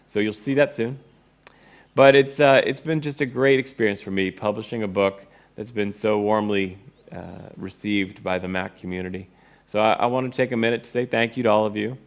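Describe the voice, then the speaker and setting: Gravelly-voiced man telling a story. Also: on the low side, steady tone, normal speed. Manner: wry, acts out remembered dialogue. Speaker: presenter, course teacher and author. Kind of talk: informal first-person storytelling to an audience.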